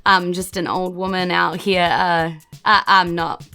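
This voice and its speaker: Old female wanderer acted by a woman game master, puzzled and self-effacing, slow halting delivery with creak. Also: lowish voice, flat delivery, pushed and bright, soft background music, heavily acted. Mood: apologetic. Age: older. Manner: halting, searching for words.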